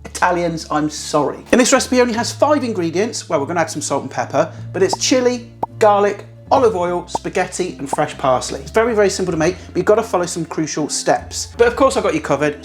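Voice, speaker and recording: A man hosting a casual cooking tutorial, chatty and blunt, swearing now and then. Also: high-pitched. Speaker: cooking channel host.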